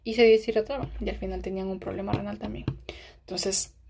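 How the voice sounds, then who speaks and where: Gravelly-voiced woman tutoring pharmacology. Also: mid-range voice, steady tone, brisk, background noise. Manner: checks in often with rhetorical questions. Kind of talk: explaining a diagram while writing.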